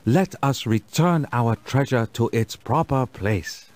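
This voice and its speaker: An actor playing a kindly, dignified village elder in a children's game, speaking formally, with music behind him. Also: male voice, mid-range voice, animated, darkish tone, dry recording. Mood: proud, warm. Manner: formal, measured. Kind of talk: scripted children's game voice acting.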